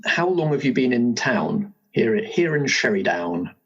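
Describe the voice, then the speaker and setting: A man's voice: medium pitch, very animated, a little slow, husky, dull audio. A man hosting as game master, casually asking questions and guiding a player through a character. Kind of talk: casual guided interview about a game character.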